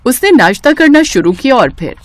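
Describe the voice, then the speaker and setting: A woman narrating a scripted story in an expressive storytelling manner. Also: sits high, normal speed, no room sound, clean studio sound.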